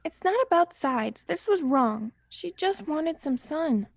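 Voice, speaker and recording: A woman's voice: high-pitched, animated, a little slow, vocal fry, exaggerated voice. A young woman playing an anxious, kindly leaf who tries to keep the peace in a student radio play. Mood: upset, reproachful. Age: young adult. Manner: pleading, soothing, hushed at times. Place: small improvised home recording space.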